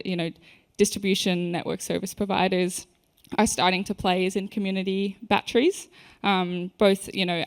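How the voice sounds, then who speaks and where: Creaky-voiced woman moderating a panel. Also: lowish voice. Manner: conversational, hedging with many fillers. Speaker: panel moderator from a consumer body. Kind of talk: conference panel discussion.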